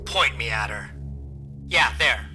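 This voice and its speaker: An actor playing a loyal, blunt, informal young coworker in an audio drama. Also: male voice, medium pitch, expressive, slow pace, music in the background. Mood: accusing.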